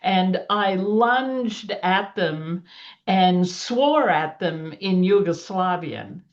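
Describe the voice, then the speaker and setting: Slow older woman. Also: fairly low pitch, animated. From Australia. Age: 70s. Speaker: panelist. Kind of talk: personal storytelling on a panel. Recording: dull lo-fi recording.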